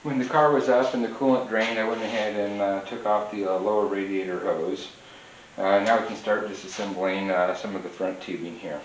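A man's voice: on the low side, steady tone. A man working as a mechanic, talking through an engine job step by step in a garage workshop, with lots of filler "uh"s. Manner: unhurried, many filler "uh"s, explains step by step. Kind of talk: garage how-to narration. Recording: echoey garage with background noise.

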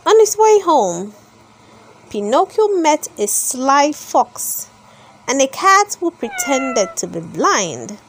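A woman reading a children's story aloud in an expressive, deliberate storytelling manner. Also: high voice, a little hiss or hum. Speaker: storybook reader.

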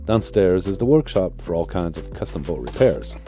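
Man, very deep voice, dark mellow tone, lively intonation, calm sailing vlog voice-over. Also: normal speed, soft background music. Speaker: vlog host and narrator. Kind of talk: voice-over narration.

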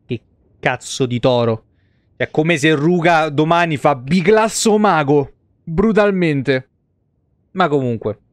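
A man's voice: fairly high pitch, slow, no echo. An Italian man in his thirties giving casual, profane live game commentary and chatting to viewers. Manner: informal, heavily profane. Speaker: game streamer. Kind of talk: casual live gameplay commentary with asides to chat.

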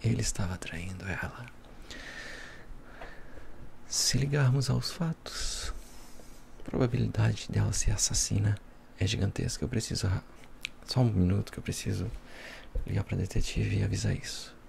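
A young man acts out a smug, playful devil with a Brazilian accent for an ASMR roleplay. The voice is low and gravelly, soft and close to the mic, with a teasing, casual delivery. There is faint noise behind it. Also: moderate pace, pauses often. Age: young adult. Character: smug, playful devil helping police as a consultant. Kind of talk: ASMR roleplay, soft talk mixed with whispering.

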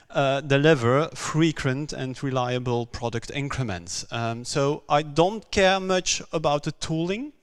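A man from Belgium, for whom English is not his first language, giving a conference talk on a stage with many pauses, "um"s and repeated words. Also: medium pitch. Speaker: conference speaker.

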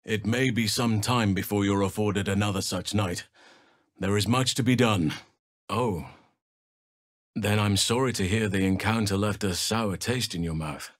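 A man voicing a stoic, earnest druid devoted to nature in a video game, speaking in measured, formal fantasy phrasing. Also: on the low side, no echo, clean studio sound, close to an everyday voice. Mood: soft, reflective. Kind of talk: video game character voice lines.

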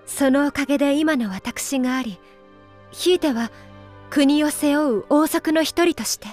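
A woman's voice: sits high, unhurried, darkish tone, soft background music. A woman playing a dignified young princess in a Japanese video game, speaking politely and formally with earnest resolve. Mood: dignified, resolute. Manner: polite, formal, composed speech.

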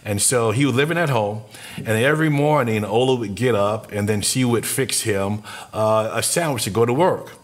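Middle-aged pastor preaching from his desk. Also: fairly low pitch. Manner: earnest, speeds up and slows down for emphasis, gestures while talking. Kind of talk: sermon. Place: small church office with posters, indoors.

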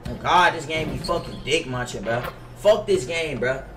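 A man's voice: a little high, animated, moderate pace, reverberant, far from the mic, music in the background. A young American man in his twenties chatting casually on a gaming livestream, profane, slangy and repetitive in his griping. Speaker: game streamer. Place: indoor home gaming room. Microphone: headset mic.

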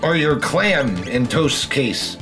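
A man's voice: medium pitch, expressive, takes it slowly. A man hosting a gaming show, chatting casually while he plays, with self-deprecating jokes and swearing. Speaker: gaming show host playing the game. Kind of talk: casual banter over video game play. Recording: game music under the voice.

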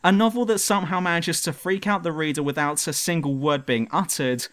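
A man's voice: a little high, fairly even intonation, fairly quick, fairly bright tone. A man reading a scripted review narration in a dramatic, emphatic way, as the presenter of a countdown video. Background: music.

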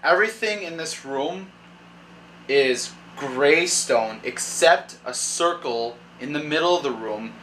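A young man narrating as dungeon master at a kitchen table, reading room descriptions haltingly and thinking aloud. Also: a little high, a bit bright, slight background noise, poor audio. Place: dim home kitchen at a table. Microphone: far camera.

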